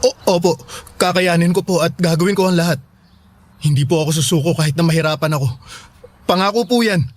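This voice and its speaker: A man playing an earnest, anxious young father in a Filipino radio drama, speaking in a halting, pleading way. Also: on the high side, natural voice. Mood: nervous, pleading.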